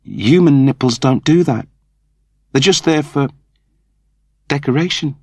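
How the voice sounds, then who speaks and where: Sensible young woman in a comic audiobook, acted by a man: raised pitch, plain-spoken, mildly exasperated, dry studio sound. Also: a little slow, dry recording. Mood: apologetic.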